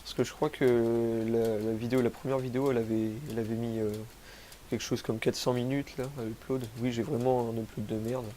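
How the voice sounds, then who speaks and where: A man's voice: mid-range voice, little pitch movement, a little fast, mellow, slight background noise, poor audio. Young man, stuffy sniffly cold voice, rambling self-mocking gamer chatter, crude slang, home computer mic, faint game sounds behind. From France. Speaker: gaming youtuber. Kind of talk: rambling gameplay commentary. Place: home, rural.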